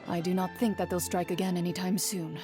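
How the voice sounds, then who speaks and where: A dutiful swordswoman from a video game, voiced by a woman. She speaks in a fairly low register, blunt and composed, with formal, measured phrasing over background music. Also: steady tone, natural voice. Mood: composed, serious. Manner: formal, measured, matter-of-fact.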